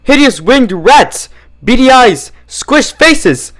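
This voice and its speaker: A fussy stallion bureaucrat from a cartoon pony world, acted out by a male reader, shrieks in horror. The voice shoots far up high and stretches out each word in panic. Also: sing-song, slow pace, fairly bright tone, full character voice. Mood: horrified.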